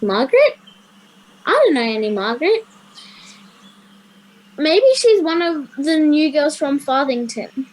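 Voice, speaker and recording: A woman's voice: high voice, sing-song, unhurried, long pauses. A girl of ten or eleven, playing a chatty, curious schoolgirl in a radio play. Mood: puzzled. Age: child, 10 to 11. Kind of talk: children's radio play acting. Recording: faintly noisy home video-call recording.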